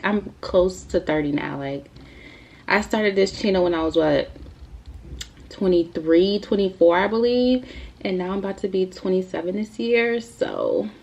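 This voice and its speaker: A woman's voice: medium pitch, dark tone, muffled recording. A woman in her twenties chatting casually to the camera for her video Q&A, rambling with lots of fillers. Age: 20s. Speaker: YouTuber. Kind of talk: casual Q&A vlog.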